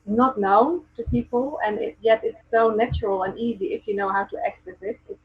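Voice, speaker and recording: A woman's voice: fairly high pitch, lively intonation, brisk, slightly rough. A woman from the Netherlands with a Dutch accent, chatting earnestly as a guest on a video call with many ums and restarts. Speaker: guest, presence coach. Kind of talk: casual video call chat. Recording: tinny phone-like video call audio.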